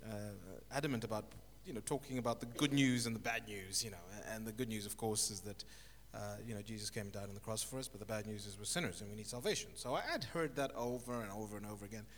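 A middle-aged man from Zimbabwe, a guest telling his life story in an interview, with thoughtful, halting speech and many restarts. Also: on the low side. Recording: echoey hall with faint noise.

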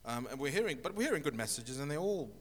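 A middle-aged man from Zimbabwe, a guest telling his life story in an interview, with thoughtful, halting speech and many restarts. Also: mid-range voice, sing-song, crowd noise. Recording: echoey hall with faint noise.

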